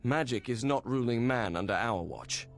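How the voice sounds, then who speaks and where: Courteous, persuasive secret go-between. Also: male voice, medium pitch, animated, normal speed, creaky, soft background music. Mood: courteous, assured. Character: smooth, discreet male go-between for secret mages. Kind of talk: fantasy game voice acting.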